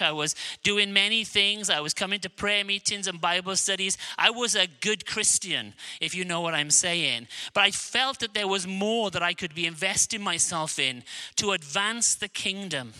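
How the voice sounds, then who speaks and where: A middle-aged Welsh man preaching a sermon, earnest and emphatic, dropping low and slow on key lines. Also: bright, forceful tone, clean tone. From Wales. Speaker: guest preacher.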